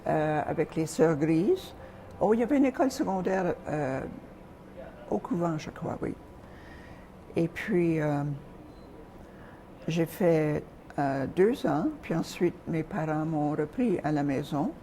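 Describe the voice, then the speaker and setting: An older woman from Calgary, Canada, looks back on her life in a soft, mellow voice. She speaks hesitantly, pausing often and filling gaps as she recalls details. There is a faint hiss behind her voice. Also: low voice, lively intonation. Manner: soft-spoken, hesitant with frequent pauses. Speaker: interview guest. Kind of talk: reminiscing in an interview.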